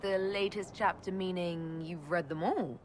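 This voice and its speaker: A woman's voice: lowish voice, a little slow, lightly acted. A woman playing a composed, wry adventuring heroine in a fantasy game, with a dry, understated delivery. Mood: teasing. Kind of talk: fantasy game dialogue acting. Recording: reverberant hall with faint ambient noise.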